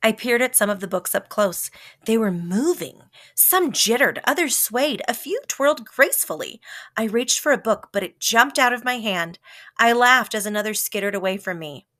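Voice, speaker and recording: A woman reading a children's story aloud as scripted narration, with a dramatic, expressive delivery that varies for effect. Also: on the high side, moderate pace. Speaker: storybook reader.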